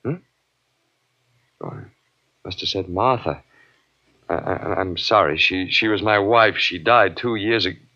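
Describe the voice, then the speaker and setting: An actor playing a weary, wry survivor in a 1950s radio drama, speaking earnestly and sometimes haltingly. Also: male voice, low-pitched, sharp tone, full character voice. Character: weary, wry human man, among Earth's last survivors. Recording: muffled vintage radio recording.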